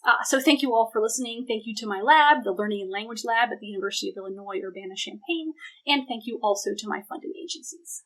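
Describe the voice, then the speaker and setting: A woman's voice: on the high side, quick pace, fairly bright tone, rough recording. A woman researcher explaining her ideas in an academic talk, emphatic and thinking aloud as she goes. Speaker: academic researcher, panel presenter. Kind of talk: academic presentation and question answering.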